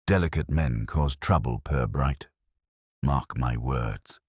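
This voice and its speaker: A man's voice: very deep voice, takes it slowly, breathy edge, dry recording, studio quality, voice pushed down, full character voice. A man voicing an irritable, weary, suspicious police superintendent in a story, curt and grumbling. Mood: grumbling warning.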